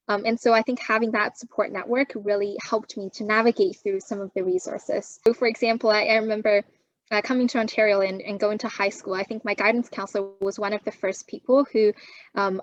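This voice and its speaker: A young woman from China, whose first language is Chinese, answers in a calm, considered way and pauses to gather her thoughts. Her voice sits in an ordinary middle register. The recording is dry, with no room echo. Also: mid-range voice. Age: young adult. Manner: measured, thoughtful, frequent um fillers. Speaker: guest. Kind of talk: interview answers.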